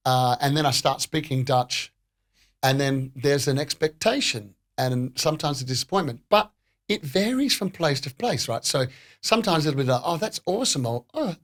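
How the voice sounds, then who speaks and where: A man's voice: medium pitch, animated, normal speed, fairly bright tone. A man in his fifties hosting a podcast, talking in a reflective, slightly self-conscious way. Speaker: podcast host, pianist. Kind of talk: casual podcast conversation.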